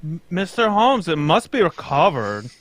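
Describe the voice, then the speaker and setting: A man's voice: fairly high pitch, big pitch swings, normal speed, fairly bright tone, no echo. A man playing an anxious, pompous foreign king in a radio mystery, earnest, in stilted English that drops its articles. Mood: grave, desperate. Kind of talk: old-time radio mystery acting, read live.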